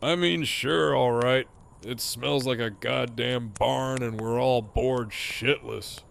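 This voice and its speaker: A man playing a cocky, teasing, foul-mouthed prisoner in an audio drama, with a smug, sardonic drawl and a gravelly voice. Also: medium pitch, fairly even intonation, slow pace, slight background noise. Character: cocky, teasing, profane male prisoner with a grin.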